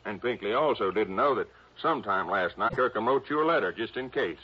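An actor playing a folksy, stubborn small-town police chief with an American accent in an old radio drama. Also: male voice, on the low side, lively intonation, slightly rough. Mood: sure, explaining. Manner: folksy, halting, insistent. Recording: muffled, noisy vintage radio broadcast.

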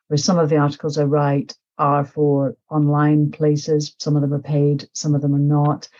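A woman's voice: low voice, monotone, moderate pace, mellow, lo-fi sound. A middle-aged woman from Nepal, an author talking reflectively in a casual interview, with many fillers and restarts. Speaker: author, podcast guest.

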